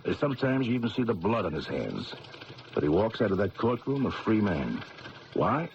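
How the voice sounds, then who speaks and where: Skeptical police lieutenant with hugely swinging pitch. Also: male voice, very animated, moderate pace. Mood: wary, resigned. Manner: plain-spoken, questioning, deferential. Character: skeptical, world-weary male police lieutenant. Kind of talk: radio drama acting. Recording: muffled old radio recording with hiss.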